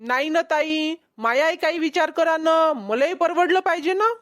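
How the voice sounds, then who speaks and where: A woman's voice: extremely high pitch, normal speed, heavy background noise. A woman voicing a boastful, haggling young male street peddler in a cartoon comedy, with a Vidarbha Marathi accent. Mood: haggling, insistent. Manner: cocky, bargaining.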